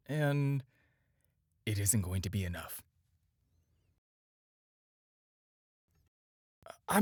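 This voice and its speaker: A flirtatious supervillain played by a man speaks straight to the listener in an audio roleplay, recorded dry. His intonation swings widely as he coaxes and teases, with playful, drawn-out pauses. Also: medium pitch, no room sound, close to an everyday voice. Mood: hesitant, asking. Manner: coaxing, playful, hesitant pauses and drawn-out teasing. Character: smooth-talking, flirtatious adult male supervillain, teasing and persuasive.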